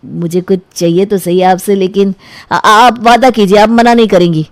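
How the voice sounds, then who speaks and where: A woman's voice: mid-range voice, steady tone, moderate pace, dry recording, lightly acted. Woman playing an earnest, pleading young suitor, dry sound. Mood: hesitant, eager. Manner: coaxing, reassuring. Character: earnest, pleading young man eager to marry. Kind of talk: animated storybook dialogue.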